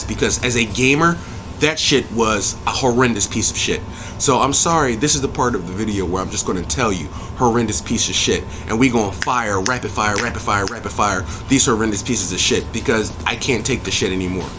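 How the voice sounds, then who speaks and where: Blunt, profane man ranting over music. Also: medium pitch. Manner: emphatic, blunt and profane, with repeated refrains. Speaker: video game movie reviewer and channel host. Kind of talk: opinionated review rant to camera.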